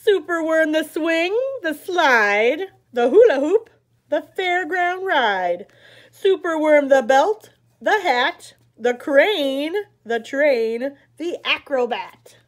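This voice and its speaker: A middle-aged woman reading a picture book aloud for children in an expressive, dramatic, chanting way. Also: high voice, slow pace. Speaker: library story time host.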